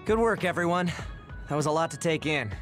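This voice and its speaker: Man voicing breezy young informant, lightly pitched. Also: expressive, brisk, natural voice. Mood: upbeat. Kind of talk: video game cutscene acting. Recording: faint music behind the voice.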